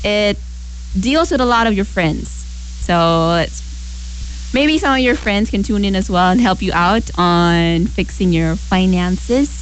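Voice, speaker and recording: A young woman radio host with a medium-pitched voice. She talks casually and conversationally, addressing listeners directly as she gives advice. There is a light hum and hiss on the recording. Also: animated, slow pace, low-quality recording.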